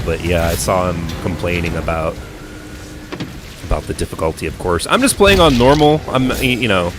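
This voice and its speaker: A middle-aged man, hoarse and croaky after being sick, rambling through laid-back live gameplay commentary. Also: low-pitched. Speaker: game streamer. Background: game music.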